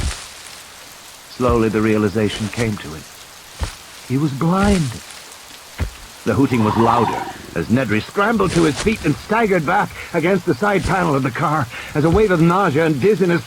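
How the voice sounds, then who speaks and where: A man's voice: on the high side, noisy background. A man narrating a novel in a theatrical, suspenseful storytelling style over background sound effects. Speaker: audiobook narrator. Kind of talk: scripted dramatic novel narration.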